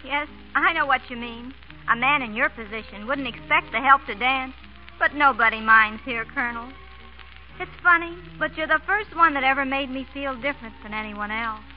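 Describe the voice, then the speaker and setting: Woman playing proud small-town American woman, high voice, muffled vintage radio recording. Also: normal speed, natural voice. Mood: friendly, shy. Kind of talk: 1930s-40s American radio comedy acting. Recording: dull old radio recording, faint music.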